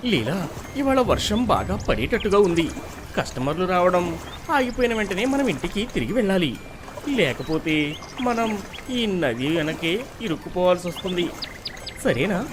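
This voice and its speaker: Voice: mid-range voice, sing-song, normal speed, long pauses, noisy background, close to an everyday voice. A narrator voicing a kind but firm husband who runs a food stall in a cartoon story, speaking earnestly. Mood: concerned. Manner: earnest, helpful, scolding his wife.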